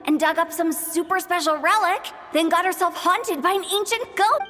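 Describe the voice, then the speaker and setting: A young game adventurer with a very high, lively voice. The traveler thinks out loud, wrapped in long, hall-like reverb. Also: female voice, moderate pace, soft background music. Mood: speculative. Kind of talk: video game voice acting.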